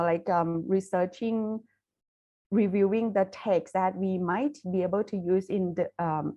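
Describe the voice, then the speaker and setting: A Thai woman, whose first language is Thai, presents academic research in English with a clear Thai accent. She speaks carefully and haltingly, often pausing on fillers and restarting phrases. Her voice sits in an ordinary middle pitch and comes through a video call. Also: darkish tone, lo-fi sound. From Thailand. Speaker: university lecturer presenting research.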